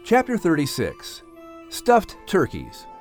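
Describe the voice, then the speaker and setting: A man narrating a children's audiobook in an expressive, scripted storytelling style. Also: fairly high pitch, takes it slowly, soft background music.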